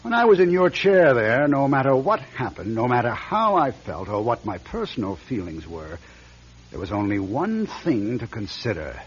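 Cynical older company boss in a radio play, husky, swingy delivery, dull old recording. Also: male voice, medium pitch, sing-song, moderate pace. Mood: patronizing. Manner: brash, patronizing. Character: blunt, cynical older former company president. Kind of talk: radio drama acting.

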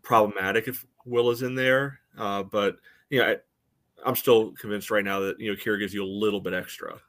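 An American man co-hosting a soccer podcast, talking casually and analytically in a rambling, halting way. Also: lowish voice, fairly even intonation, fairly bright tone. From United States. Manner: rambling, hesitant, frequent "you know". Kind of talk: casual chat, match analysis.

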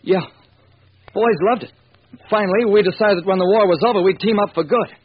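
An actor playing an earnest, excitable man in a 1940s radio crime drama, speaking emphatically on a dull, hissy recording. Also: high-pitched, a little fast, husky.

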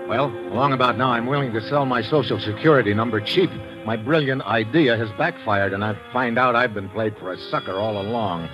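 A man's voice: medium pitch, fairly quick, few pauses, natural voice. An actor playing a wisecracking, hard-boiled agent who narrates his own trouble in slangy 1950s radio adventure style. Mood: wry, uneasy. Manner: wry, slangy, tough-guy delivery. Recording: muffled vintage radio recording, loud music behind.

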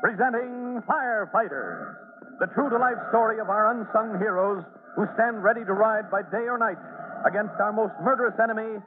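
A man's voice: sits high, normal speed, background noise. A man narrating a scripted radio drama as announcer, emphatic and declamatory in 1940s style. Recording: narrow-band old radio broadcast.

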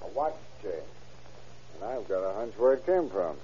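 On a muffled, noisy old radio recording, a low, very mellow American man's voice. The actor plays a wry lawman, a grumbling but sharp-eyed partner in a crime serial. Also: animated, moderate pace, lightly acted. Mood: inquisitive. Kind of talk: old-time radio crime drama acting.

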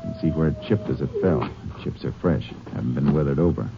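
An actor playing a stern, shrewd Texas Ranger in an American radio drama, speaking in terse, authoritative phrases. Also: male voice, rumbling low, expressive, fast talker, gravelly, noisy background, heavily acted. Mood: focused, watchful. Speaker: lead actor and narrator. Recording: muffled early-1950s radio recording with music.

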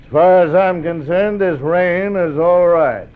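Ruthless bandit boss, tinny old radio. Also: male voice, high-pitched, measured pace. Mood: cold, gloating. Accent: American. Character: ruthless gloating male Old West bandit gang leader. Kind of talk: old-time radio western acting.

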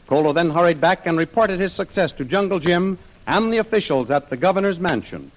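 A man's voice: high-pitched, expressive, fairly quick, low-quality recording. A man with an American accent narrating an adventure serial in dramatic, declamatory 1930s radio announcer style. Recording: old radio recording, music behind.

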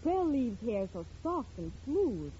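A woman's voice: on the high side, big pitch swings, slow, dark tone, a little hiss or hum. A woman playing a pleased mother in a 1940s radio advertisement. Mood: delighted. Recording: muffled old radio ad with music.

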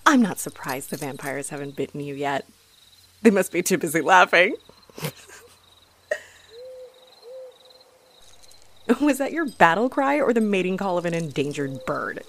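A woman's voice: deep voice, a little hiss or hum. A woman playing an aggravated, haughty, flirtatious female vampire in a scripted audio roleplay, with mocking, theatrical delivery. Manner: mocking, sardonic, theatrical taunting.

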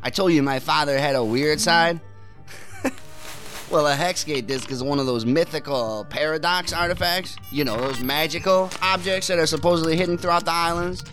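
A man's voice: a little high, moderate pace, fairly bright tone, faint music behind, close to an everyday voice. An actor playing a cynical, irritated son of a wealthy man in an audio drama, with a scornful, dismissive manner. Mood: scornful amusement. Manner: scornful, dismissive, chuckling sarcasm. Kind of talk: naturalistic audio drama acting.

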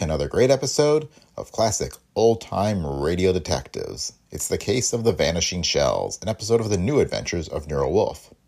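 A man's voice: deep voice, darkish tone, breathy edge. A man hosting a podcast, reading a scripted introduction. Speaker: podcast host.

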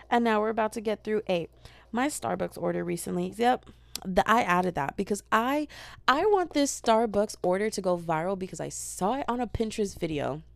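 A woman's voice: medium pitch, gravelly, no echo. A young American woman hosting a solo podcast, rambling and joking at herself with giggles. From United States. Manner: rambling, self-deprecating, giggly. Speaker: podcast host.

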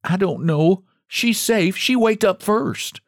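A male reader plays a young country mother in an old story, tearful and frightened. The voice sits very high, with anxious exclamations in homespun dialect. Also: expressive, slow pace, vocal fry, dry recording, studio quality, heavily acted. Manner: sobbing exclamations in rustic dialect.

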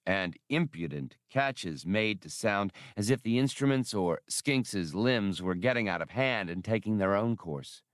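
A man's voice: sits low, no room sound, clean studio sound. A man reading scripted adventure fiction as an audiobook narrator. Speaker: audiobook reader.

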